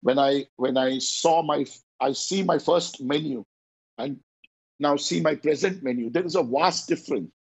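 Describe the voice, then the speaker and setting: A middle-aged man with an Indian accent, a chef answering interview questions over a video call in an earnest, halting way. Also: fairly high pitch, slightly rough. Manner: earnest, searching for words, halting. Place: indoor room at home. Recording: muffled video call audio.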